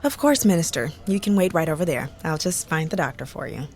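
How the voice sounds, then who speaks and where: A woman acting a brisk, polite nurse with a disdainful streak in a radio drama. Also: low voice, lively intonation, a little mellow. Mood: polite, professional.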